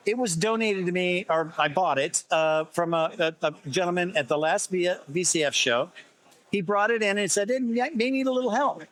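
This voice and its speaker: A man with a slightly hoarse voice, explaining his vintage repair work conversationally in an interview, often restarting phrases. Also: high-pitched, normal speed, fairly bright tone. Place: busy festival exhibit table.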